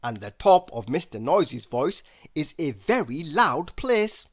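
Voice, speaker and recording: A storybook narrator reading a children's tale aloud in an animated, expressive way. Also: mid-range voice, unhurried. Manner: animated, expressive, with emphatic sound words. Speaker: storybook reader.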